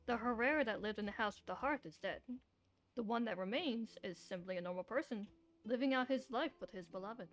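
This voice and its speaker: High-voiced woman streamer, blunt and sweary. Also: brisk. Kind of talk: casual live game commentary. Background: faint game music.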